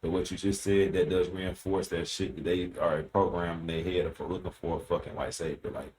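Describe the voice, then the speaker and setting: A man with a very deep, low voice makes blunt, profane remarks on a casual podcast. Faint background noise hums behind him. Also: little pitch movement, a little fast, darkish tone, poor audio. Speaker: podcast co-host.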